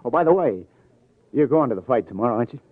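Tinny old radio recording, male actor as hard-boiled American detective, medium pitch, wry, slangy, casual. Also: lively intonation, fast, no room sound, natural voice. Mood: wry, brisk. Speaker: actor in a radio crime drama. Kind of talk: 1940s-50s American radio drama acting. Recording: tinny, narrow-band vintage radio recording.

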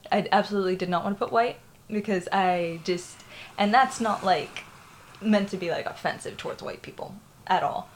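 Young woman just talking about her life, kinda rambly and honest, normal pitch, little bit of room noise. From Florida, Puerto Rican family. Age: young adult. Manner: candid, rambling, with frequent fillers and laughs. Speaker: interviewee. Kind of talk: personal storytelling.

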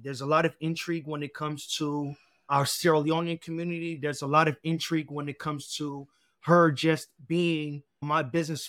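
Male co-host from the United States, higher-pitched, curious and upbeat, dry sound. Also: a bit bright, dry recording. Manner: upbeat, curious, repetitive phrasing. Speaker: podcast co-host. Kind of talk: podcast interview.

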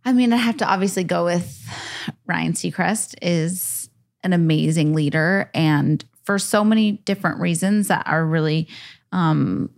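Woman guest, lowish voice with some fry, gushing and sincere, says like a lot. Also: unhurried. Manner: earnest, gushing, lots of 'like' and 'um'. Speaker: guest, TV and radio producer. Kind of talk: casual podcast interview.

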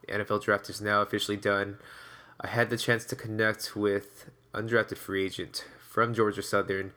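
A man's voice: fairly low pitch, level tone, moderate pace, sharp tone. A man hosting a sports podcast, talking in a casual, upbeat, conversational way. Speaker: podcast host and interviewer.

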